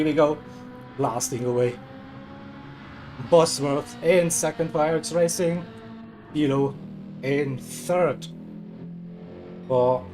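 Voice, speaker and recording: Hoarse upbeat male race commentator. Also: fairly high pitch, a little mellow. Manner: upbeat, laughs often, self-mocking. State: hoarse, voice cracking. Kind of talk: live sim racing commentary.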